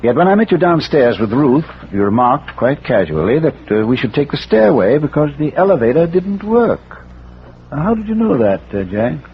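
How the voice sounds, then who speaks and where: An actor playing a courteous, erudite amateur sleuth in a 1940s radio mystery, hesitant and politely probing. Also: male voice, on the high side, very animated, moderate pace. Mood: probing, measured. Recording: muffled, noisy vintage radio recording.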